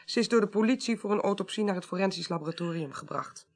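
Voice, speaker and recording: A woman playing a sharp, determined investigator in a Dutch radio drama, speaking deliberately and insistently. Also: mid-range voice, natural voice. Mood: matter-of-fact. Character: sharp, determined investigator, convinced of foul play.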